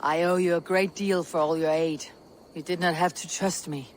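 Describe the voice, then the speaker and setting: A woman's voice: fairly low pitch, steady tone, moderate pace, slight background noise, lightly acted. An actress playing a stern, proud Viking warrior woman, terse, commanding and formal, in a video game cutscene. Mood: earnest.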